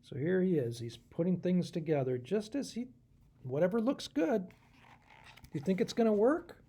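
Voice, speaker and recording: A man, a pastor, reading a children's storybook aloud in an expressive way and speaking directly to his young listeners. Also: high voice, unhurried, slightly rough. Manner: animated, expressive, explains pictures to young listeners.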